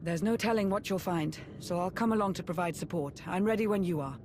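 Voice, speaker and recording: An actress playing a cautious, determined military adviser in a video game, giving serious, measured briefings. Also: female voice, fairly low pitch, darkish tone, a little hiss or hum, lightly acted. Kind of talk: dramatic video game cutscene acting.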